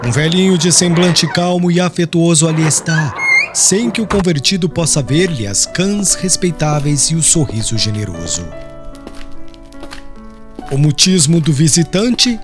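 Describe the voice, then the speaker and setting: A man narrating a literary audiobook in a solemn, expressive, scripted manner. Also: on the high side, unhurried. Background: music.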